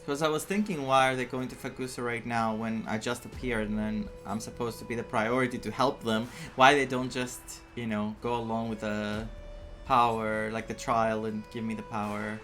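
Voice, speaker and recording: A person giving casual game playthrough commentary as a man, thinking aloud in a halting, musing way. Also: mid-range voice, flat delivery, fairly bright tone. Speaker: game streamer playing and reacting. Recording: game music behind.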